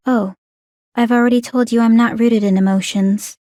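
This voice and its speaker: A woman's voice: fairly high pitch, fairly even intonation, moderate pace, warm tone, no room sound, clean studio sound, close to an everyday voice. A woman playing an aloof, bookish young warrior maiden in a video game, speaking in a composed, formal, precise and detached way. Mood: detached, calculating. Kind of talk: English video game voice acting.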